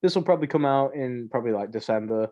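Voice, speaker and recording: Young male podcast co-host, average pitch. Slangy, repetitive, rambling and jokey, casual throughout. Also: lively intonation, fast, highs cut off, noisy background. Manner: joking, rambling, slangy, repeats himself. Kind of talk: casual banter.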